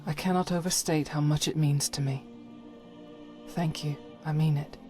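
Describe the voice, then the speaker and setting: A woman playing a devout warrior in a game mod, earnest and solemn, with halting formal phrasing and breathy whispers. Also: deep voice, fairly even intonation, darkish tone, soft background music, natural voice. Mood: resolute, grateful. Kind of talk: dramatic video game mod voice acting.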